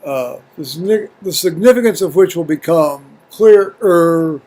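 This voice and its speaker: An American man video blogging at home in a halting, folksy, rambling way, muttering to himself and reading to viewers. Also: fairly high pitch, dark tone. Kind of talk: rambling casual chat with scripture reading. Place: at home, indoors.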